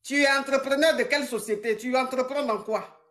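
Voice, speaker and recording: A woman's voice: sits high, brisk. Mocking woman coach. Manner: mocking, laughs often, animated. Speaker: live-stream advice coach taking calls. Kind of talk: teasing call-in banter.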